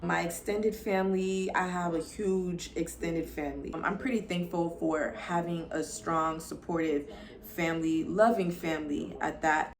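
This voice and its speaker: Chatty young woman from Florida, low voice. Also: fairly even intonation, normal speed, gravelly. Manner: chatty, upbeat, addresses viewers as y'all and girl. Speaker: lifestyle vlogger. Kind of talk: casual to-camera introduction.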